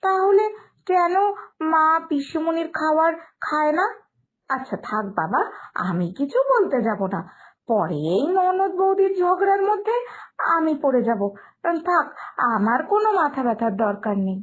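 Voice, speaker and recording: A woman's voice: high-pitched, sing-song, a little slow, slight background noise. A woman voicing a polite, naive young daughter-in-law in a cartoon drama, earnest in manner, with a West Bengal accent. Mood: puzzled.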